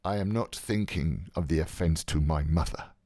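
A man's voice: extremely low pitch, takes it slowly, dark tone, dry recording, clean studio sound, voice pushed down, full character voice. A man voicing a cold, brooding, wounded young man in an audiobook, speaking with terse, proud restraint. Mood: cold, hurt. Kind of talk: literary audiobook character voice.

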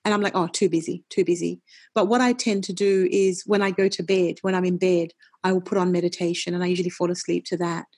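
A middle-aged woman, a wellness coach, talking in a casual interview, forceful and passionate, with many quick restarts. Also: on the low side, fairly even intonation. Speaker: nutritionist and wellness coach, guest.

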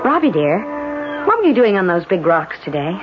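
Fretful, lively-pitched protective mother. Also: fairly quick. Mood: protective, firm. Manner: expressive, fretful. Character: anxious, protective young mother on summer holiday. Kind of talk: 1970s radio drama acting. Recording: muffled vintage radio recording. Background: music.

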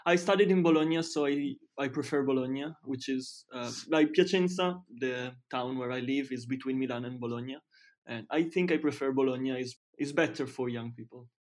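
A man in his twenties with an Italian accent, a guest chatting casually in careful, halting English learned as a second language. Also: mid-range voice. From Italy. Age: 20s. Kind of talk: casual chat.

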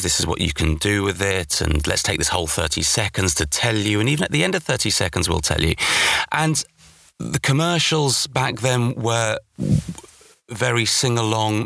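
British man, middle-aged, bright and low voice, conversational guest, emphatic delivery, dry close sound. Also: creaky, no room sound. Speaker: interview guest, audio journalist and voice-over artist. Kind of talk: conversational interview answers.

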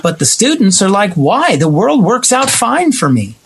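A man's voice: high voice, sing-song, moderate pace, vocal fry, slight background noise. An American man in emphatic, opinionated conversation, slipping into imagined quotes to make his points. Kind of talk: opinionated conversation about society.

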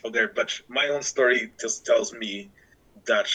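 A middle-aged man from Guatemala, speaking haltingly in an interview, with restarts and fillers. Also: medium pitch, steady tone, sharp tone, slightly rough, poor audio. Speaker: interviewee, church worker. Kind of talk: reflective interview talk.

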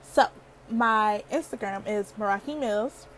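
A woman's voice: medium pitch, measured pace, fairly bright tone, a little hiss or hum. A young woman in her twenties hosting a casual solo podcast, chatty and playful, laughing often, with a girlish voice. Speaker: podcast host.

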